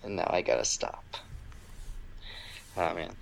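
A man co-hosting a podcast in casual chat, with a deadpan, dryly joking manner. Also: sits low, measured pace, vocal fry, low-quality recording. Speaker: podcast co-host.

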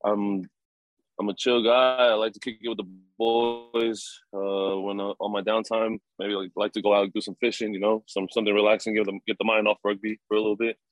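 A young man in his twenties, a rugby player answering interview questions in a relaxed, halting way with many fillers and chuckles. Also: on the low side, poor audio. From New Zealand. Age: 20s.

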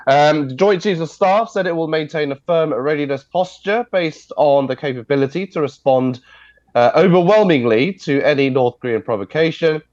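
A man briefs the news from a video call, his voice congested from a cold. The audio is dry and slightly dull, and he explains at an even, unhurried pace, filling gaps with uh and um. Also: on the high side, no echo. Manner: steady explanatory delivery with frequent uh fillers. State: congested, stuffy nose. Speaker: news correspondent.

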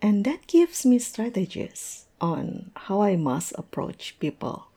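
A woman playing a tipsy, observant coworker in a conversational audio roleplay, musing and teasing in a halting way. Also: mid-range voice, very animated, dark tone, breathy edge, heavily acted. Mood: musing, candid. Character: tipsy, observant young woman chatting with coworkers over drinks.

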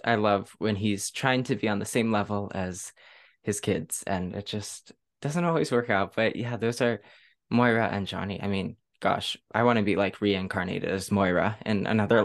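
A man's voice: lowish voice, normal speed. A man hosting a casual interview podcast in an easygoing, agreeable, informal way. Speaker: podcast host.

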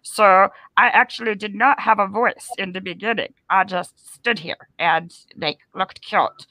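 A chatty young puppet boy, voiced by a woman, speaks in a bright, forward voice. The delivery is halting and rambling, with a sheepish air, in a very dry close sound. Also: medium pitch, dry recording, low-quality recording. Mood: sheepish, earnest. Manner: halting, rambling, searching for words. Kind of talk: improvised puppet interview.

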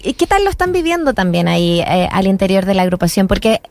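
A woman's voice: medium pitch, big pitch swings, fast, darkish tone, dry recording. A woman co-hosting a conversational radio interview. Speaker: radio co-host.

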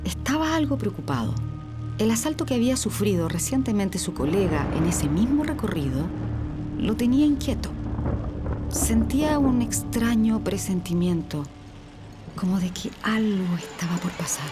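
A woman narrating a scripted radio story in a measured, suspenseful way. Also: medium pitch, sing-song. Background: prominent music and sound effects.

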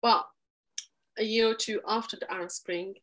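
A woman's voice: mid-range voice, steady tone, moderate pace, a bit bright. A young adult woman from Egypt, a podcast guest, talking earnestly and with animation in a conversational interview, often restarting her sentences. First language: Arabic.

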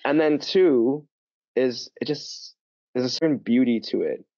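A man's voice: medium pitch, dry recording. A man chatting as a podcast guest, telling stories animatedly with lots of fillers and restarts. His audio is dull and muffled, with a dry, close sound. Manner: animated storytelling, full of fillers and quick restarts. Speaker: podcast guest, language teacher. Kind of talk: casual interview chat.

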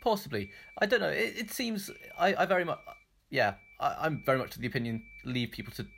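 A British man co-hosting a casual news chat, talking in a hesitant, halting way and hedging as he goes. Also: a little high, animated, gravelly, a bit bright, a little hiss or hum. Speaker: podcast co-host.

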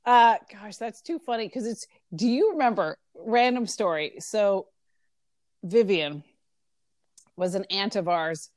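A woman's voice: mid-range voice, lively intonation, unhurried, a little mellow, no room sound, clean studio sound. A woman chatting casually with her sister, mostly reacting with laughs and short agreements. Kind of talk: casual chat between sisters.

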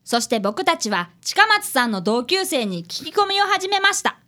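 Woman as an earnest, very high-pitched child detective. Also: very animated, moderate pace, pushed and bright, full character voice. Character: earnest primary-school child apprentice detective, narrating the case. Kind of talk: scripted radio drama acting.

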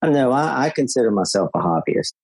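A man's voice: mid-range voice, very animated, a little fast, vocal fry, darkish tone, breathy edge, no echo, low-quality recording. A man, a podcast guest talking easily about keeping bees and repeating words as he thinks. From South Louisiana. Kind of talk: casual interview chat.

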